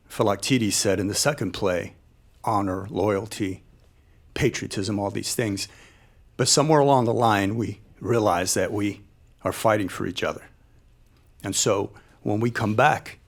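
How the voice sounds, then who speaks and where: A man, a military veteran, giving earnest public remarks in measured, deliberate phrases with emphatic repetition. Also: on the low side, creaky. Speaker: military veteran and former troop leader. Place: live event space at a panel discussion.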